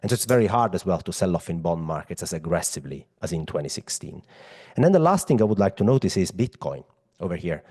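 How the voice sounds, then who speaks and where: Male presenter, Italian accent, low-pitched husky voice, conversational yet emphatic explainer addressing listeners directly. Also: big pitch swings, fast talker, dark tone. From Europe. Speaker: macro analyst presenting.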